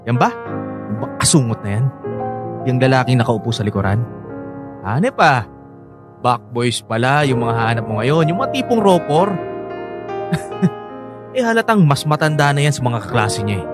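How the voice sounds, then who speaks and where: A man's voice: medium pitch, big pitch swings, normal speed, long pauses, lightly acted. Man acting a pushy, jealous ex-boyfriend. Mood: menacing, mocking. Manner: taunting, insistent. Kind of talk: Filipino radio drama acting. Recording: music behind the voice.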